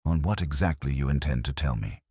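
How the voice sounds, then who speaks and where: A steady, guarded male inspector in an audio drama, voiced by a man. He probes in a controlled way, with a very deep pitch and a dry, close sound. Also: fairly quick, slightly rough, dry recording. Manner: controlled, probing.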